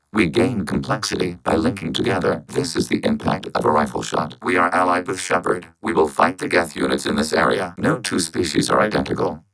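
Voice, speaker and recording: A science fiction game robot with an extremely low, gravelly voice. It speaks in formal, measured phrases, calm and emotionless, through synthetic robotic processing. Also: male voice, full character voice, rough recording. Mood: detached, earnest. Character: calm logical robot of a networked machine collective. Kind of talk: scripted science fiction video game dialogue.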